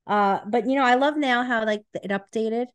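Playful woman with a higher voice. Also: moderate pace, clean tone. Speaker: podcast co-host. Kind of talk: casual banter.

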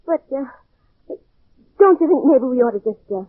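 A woman's voice: high voice, lively intonation, moderate pace. A woman playing a timid, worried young wife in an old-time radio drama, pleading and hesitant. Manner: pleading, hesitant, breathlessly anxious. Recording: tinny phone-like vintage radio recording.

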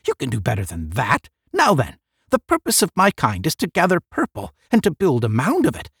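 A man's voice: fairly high pitch, wildly swooping pitch, a little fast, vocal fry, fairly bright tone, breathy edge, no room sound, studio quality, voice pushed up, full character voice. A man voicing a proud, questing cosmic giant with a demanding, declarative manner in a science fiction audiobook. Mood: proud, impatient. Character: proud, questing cosmic giant who strides between stars.

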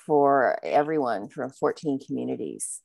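A woman with a very low, gravelly voice recalls her past in a reflective, measured way. Her speech is dry and close, with no room sound. She tells her stories at an unhurried pace. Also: a little mellow, dry recording. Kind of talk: casual conversation.

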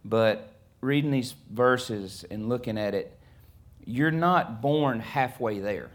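Man, Southern US accent, middle-aged, guest preacher, casual halting sermon delivery, reading scripture aloud. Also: medium pitch. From Alabama, USA. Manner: conversational, halting, many fillers and restarts.

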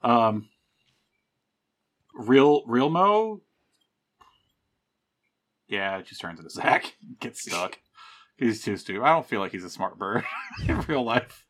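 A man's voice: medium pitch, sing-song, slow, long pauses, fairly bright tone, a little hiss or hum. A man in casual chat with friends about their tabletop game, joking and rambling with lots of laughter. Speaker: podcast cast member.

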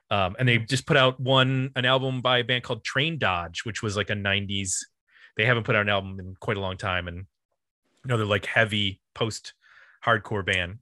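Male podcast host, American, bright forceful voice, dry close sound, casual delivery. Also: lowish voice, dry recording. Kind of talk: casual podcast chat and announcements.

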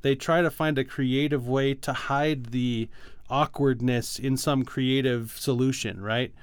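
A man's voice: medium pitch, steady tone, measured pace, smooth, no echo. A man co-hosting a podcast, talking casually and technically about music in an informal way peppered with "like" and "you know". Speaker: podcast co-host.

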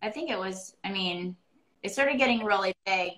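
Woman, podcast host, casual interview chat, effusive, bright tone. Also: mid-range voice, moderate pace. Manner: warm, effusive, rambling.

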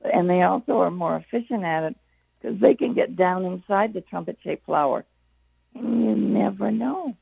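A hoarse woman, her voice going, chats in a rambling and self-deprecating way as a gardening guest. Her voice comes through gravelly and husky. The call sounds dry and tinny, like a phone line. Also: mid-range voice, moderate pace, no room sound. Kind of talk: casual call-in chat.

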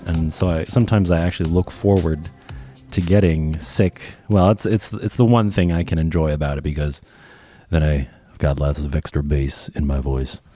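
A man's voice: rumbling low, steady tone, normal speed, mellow, faint music behind. A man hosting a faith podcast while he has a cold, easygoing and rambling in casual discussion. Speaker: podcast host.

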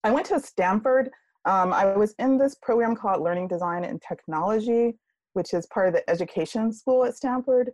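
A woman guest on a podcast interview talking about her career in a relaxed way, with many fillers. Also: mid-range voice, moderate pace, no echo. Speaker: guest, UX writer. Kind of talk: casual interview.

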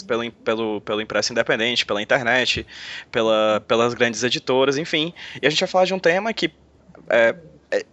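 A man's voice: medium pitch, bright, forceful tone, lo-fi sound. A man hosting a casual podcast chat, talking in a chatty way with frequent restarts. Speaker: podcast host.

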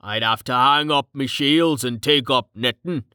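A man's voice: mid-range voice, unhurried, pushed and bright, smooth, no echo, studio quality. Man playing a brash, burly shield-bearer. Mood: jovial, teasing. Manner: colloquial, blunt, teasing.

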